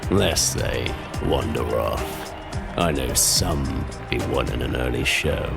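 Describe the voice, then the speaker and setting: A man playing a menacing show barker with a rough, gravelly voice and a slow, theatrical drawl. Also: over loud music, full character voice. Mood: ominous. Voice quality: gravelly, rumbling.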